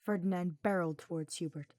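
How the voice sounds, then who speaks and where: Lowish-voiced woman narrating fiction. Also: steady tone, a little fast, darkish tone, dry recording, clean studio sound.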